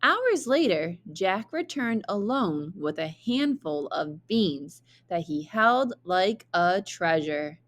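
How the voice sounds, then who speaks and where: A woman reading a children's story aloud like a teacher, with expressive, deliberate narration. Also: fairly low pitch.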